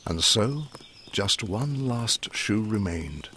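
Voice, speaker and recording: A man narrating a rhyming children's bedtime story in expressive, measured verse. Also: lowish voice, a little hiss or hum.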